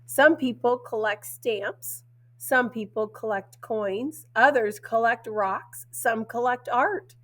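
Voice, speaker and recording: Raspy woman reading aloud slowly. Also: fairly high pitch, fairly bright tone. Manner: slow, deliberate read-aloud with stressed words. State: hoarse from a cold. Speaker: teacher. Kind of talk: reading a picture book aloud to children.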